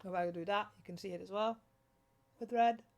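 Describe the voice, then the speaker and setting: A woman's voice: mid-range voice, steady tone, a little fast, darkish tone, muffled recording. Chatty Dutch-accented woman streaming. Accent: Dutch, with some British English. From Netherlands. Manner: chatty and rambling, many restarts, greets chat. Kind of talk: casual livestream chat while sewing.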